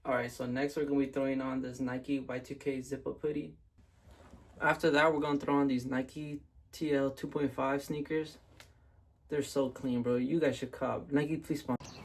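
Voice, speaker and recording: This is a young man's casual styling vlog voice, raspy because he has been sick. He talks to his viewers in a relaxed, slangy way. His delivery stays flat and even, and a gravelly creak runs through his words. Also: mid-range voice, normal speed. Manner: laid-back slangy talk to viewers. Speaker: fashion vlogger. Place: at home.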